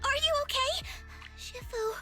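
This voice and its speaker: A woman's voice: extremely high pitch, unhurried, over loud music. A woman voicing an eager, bubbly young girl disciple who is devoted to her master, in an anime game. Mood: worried.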